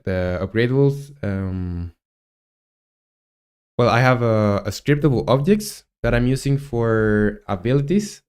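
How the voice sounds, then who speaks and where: A man in his twenties with a Chilean Spanish accent, talking through his coding on a live stream, halting and thinking aloud with many fillers. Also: on the low side, darkish tone, smooth. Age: 20s. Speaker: game developer streamer.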